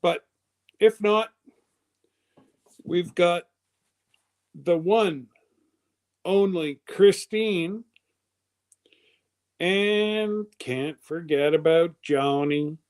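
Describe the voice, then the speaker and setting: A man's voice: high-pitched, a little mellow, clean tone. A man in his fifties hosting a live stream, chatting casually in a loose, rambling way. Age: 50s.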